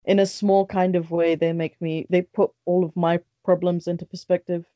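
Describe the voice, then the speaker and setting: A woman speaks openly as a podcast guest, full of fillers and quick restarts. Her voice is mellow and warm. The recording is dry and a little dull, with a lo-fi edge. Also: on the low side, little pitch movement, dry recording. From born in Bangladesh, raised in London. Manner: candid, chatty, many restarts and fillers. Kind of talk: casual podcast interview.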